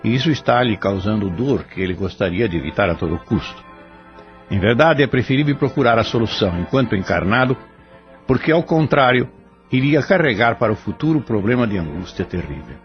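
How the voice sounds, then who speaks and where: Reflective male commentator with a lively, expressive delivery; muffled recording with soft music. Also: fairly low pitch, fairly quick. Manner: reflective, preachy. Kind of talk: moral commentary. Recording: dull lo-fi audio with faint music.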